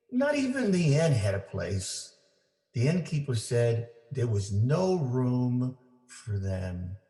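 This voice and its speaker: Slow-reading male storyteller in a roomy space. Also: mid-range voice, dark tone. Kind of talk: read-aloud children's Christmas story. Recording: roomy, echoing indoor sound.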